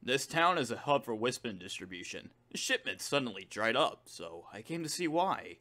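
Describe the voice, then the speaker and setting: A man voicing a gruff, hot-headed echidna commander, blunt and impatient, in a comic dub. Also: medium pitch, big pitch swings, moderate pace, pushed and bright. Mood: grumbling, serious. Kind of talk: amateur comic dub acting. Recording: music behind the voice.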